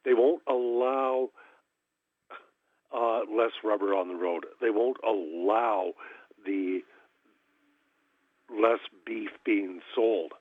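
A man calls in to a talk show and speaks very slowly in a husky, lower voice. His manner is measured and deliberate. The sound comes over a thin, tinny telephone line. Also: dry recording. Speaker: caller.

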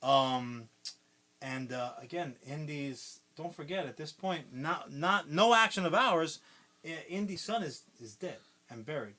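Guy on a podcast, kinda high voice, rambling and cussing a ton, lots of ums. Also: animated, unhurried, pushed and bright. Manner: rambling, heavy swearing, lots of fillers. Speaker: podcast co-host. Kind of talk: casual pitch-style movie retelling.

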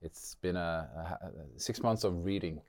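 A man's voice: low-pitched, normal speed, a little mellow, no room sound. A man from Norway with a Norwegian accent, speaking reflectively as an interview guest, hesitant and repeating words as he searches for phrasing. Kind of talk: reflective interview talk about personal experience.

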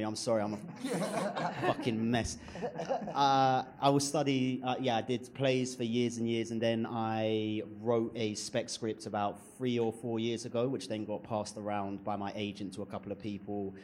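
A man's voice: mid-range voice, fairly even intonation, normal speed, a little mellow, slight background noise. A male writer and comedian on a live panel, talking bluntly and joking, with frequent swearing. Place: event stage with audience.

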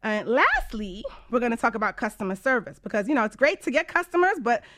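A woman's voice: fairly high pitch, big pitch swings, fast talker, fairly bright tone. A woman hosting a casual talk radio show as a coach, chatty, informal and emphatic.

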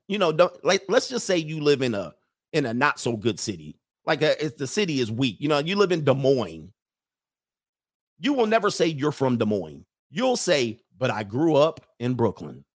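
Man, casual commentary, animated, mocking impressions, laughs at own jokes. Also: mid-range voice.

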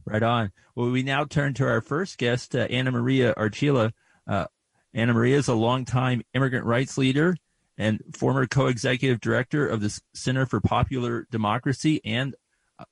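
Male radio host, gravelly voice, measured delivery, dry close sound. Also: medium pitch, dry recording, poor audio. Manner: measured, reads prepared introductions. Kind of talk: radio interview.

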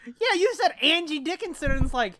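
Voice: high-pitched, big pitch swings, fairly quick, fairly bright tone, slight background noise. A podcast co-host chatting casually in blunt, slangy, profanity-heavy talk. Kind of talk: casual chat.

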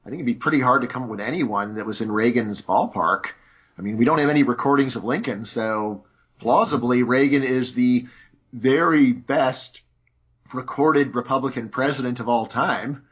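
A man's voice: mid-range voice, moderate pace, a bit bright, slightly rough, dull audio. A man speaking as a guest in a conversational interview, arguing his views at length and leaning on "you know" and "like". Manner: argumentative, lots of "you know" fillers.

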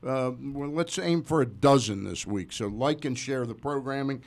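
Gravelly American man hosting sports talk. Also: mid-range voice, normal speed, no room sound. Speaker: sports talk show host.

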